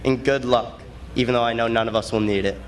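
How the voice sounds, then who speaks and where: A man's voice: medium pitch, fairly even intonation, fast, a little hiss or hum. A young man, giving a prepared graduation speech as a student. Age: late teens.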